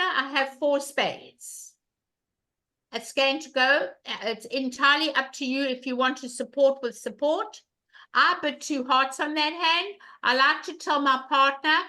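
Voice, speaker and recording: Loud, bright-voiced older woman teaching bridge. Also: high voice, a little slow, poor audio. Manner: emphatic, repeats questions to the class. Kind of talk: online bridge lesson.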